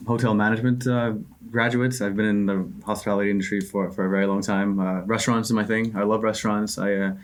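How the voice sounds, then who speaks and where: A man's voice: lowish voice, flat delivery, fast. A man in his late twenties, a guest co-founder, talking eagerly with many restarts. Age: 20s. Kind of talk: casual interview chat.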